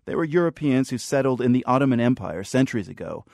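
A man's voice: medium pitch, expressive, quick pace, gravelly, a little mellow, dry recording, studio quality. A man presenting a radio news program from a script.

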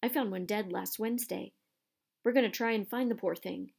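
Woman voicing a gruff, growling male gamekeeper. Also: medium pitch, normal speed, a little mellow. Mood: serious, instructing. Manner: growling, rustic dialect with dropped word endings. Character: gruff, burly male gamekeeper, fierce but protective. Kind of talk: audiobook character voice.

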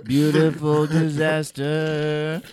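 A man's voice: on the high side, monotone, extremely slow, a crowd in the background. A male comedian and host doing improvised banter in a theatrical, exaggerated manner.

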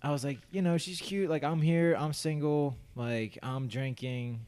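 Mellow-voiced young man rambling through a story. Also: a little high, steady tone, unhurried, a little hiss or hum. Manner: rambling storyteller, many fillers and restarts; laughs often, acts out his own quoted thoughts. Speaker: podcast co-host. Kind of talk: casual chat.

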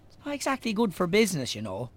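Wry, higher-pitched man. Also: huge pitch swings, fast talker, heavily acted. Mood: teasing, fond. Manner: wry, bantering. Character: teasing, wry Irish local businessman and friend. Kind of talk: naturalistic radio drama acting.

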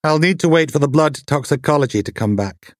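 A man's voice: a little high, lively intonation, a little fast, no room sound, clean studio sound, pitched above the performer's own voice, close to an everyday voice. A man voicing a precise, humourless female pathologist in an audiobook, formal and clinical.

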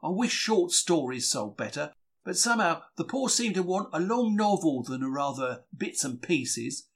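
A man's voice: fairly high pitch, very animated, moderate pace, slightly rough, exaggerated voice. A man reading a diary as a chatty, self-deprecating 1940s market bookseller, wry and plain-spoken. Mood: chatty, matter-of-fact. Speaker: reader.

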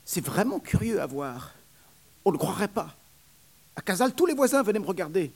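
A man's voice: big pitch swings, moderate pace, vocal fry, husky, heavily acted. A man voicing a suffering young wet nurse, pleading in a raised, breathless voice. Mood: confiding. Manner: high, plaintive, breathless pleading.

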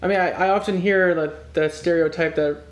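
A woman's voice: lowish voice, fairly even intonation, fairly quick, roomy sound. A woman hosting a podcast, asking short, curious follow-up questions in a casual chat. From United States.

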